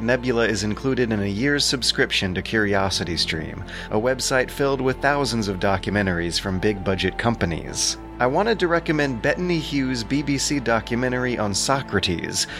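A man with a low-pitched voice works as narrator and host of an audio production. He reads prepared, scripted text, with music clearly playing beneath him. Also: fairly bright tone.

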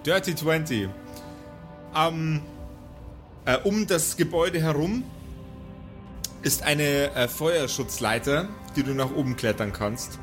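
Higher-voiced man narrating a tabletop game. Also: takes it slowly, long pauses. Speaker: game master. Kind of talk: live improvised tabletop role-play narration. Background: prominent background music.

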